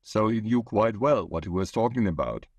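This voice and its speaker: Male author, short story narration, lowish pitch, dry recording. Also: lively intonation, moderate pace, no echo.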